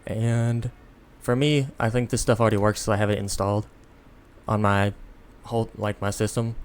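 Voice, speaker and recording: A tired man giving a casual, unscripted software tutorial in a rambling, self-deprecating way. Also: fairly low pitch, flat delivery, moderate pace, slight background noise. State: tired, sleepy. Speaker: tutorial host.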